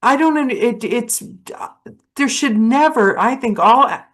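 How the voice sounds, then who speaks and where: A woman's voice: on the high side, expressive, unhurried, a bit bright. A woman hosting a casual interview over a video call, chatty and thinking aloud as she talks. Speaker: podcast host and audiobook narrator.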